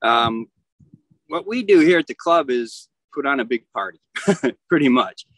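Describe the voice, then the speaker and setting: A middle-aged man, a yacht club commodore talking casually on a video call, often pausing and restarting as he searches for words. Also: medium pitch, expressive, fairly bright tone, dry recording, poor audio. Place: outdoors at a marina.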